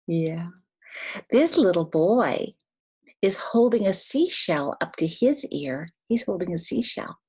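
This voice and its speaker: Older woman, husky, animated, reading to toddlers. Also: lowish voice. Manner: simple, instructive, asking the child questions and giving prompts. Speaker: storyteller for young children. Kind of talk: interactive picture-book read-aloud for toddlers.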